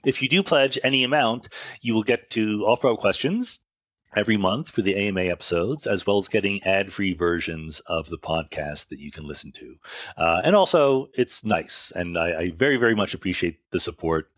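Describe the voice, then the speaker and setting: Male podcast host, lowish voice, conversational explainer, self-correcting and measured, close dry sound. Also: lively intonation, a bit bright, dry recording. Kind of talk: informal explanatory monologue answering listener questions. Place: makeshift recording setup away from home studio.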